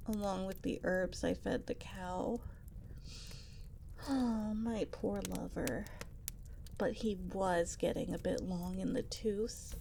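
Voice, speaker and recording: Seductive witch, played by a woman for ASMR roleplay: leisurely delivery, medium pitch, flirtatious yet sinister, over audible nature and fireside sounds. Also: vocal fry, a little mellow. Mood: sly, possessive. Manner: coy, flirtatious, politely sinister. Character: seductive, possessive witch on an isolated island. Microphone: close binaural 3Dio microphone. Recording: nature sounds and fire crackle behind voice. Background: nature ambience, fireplace.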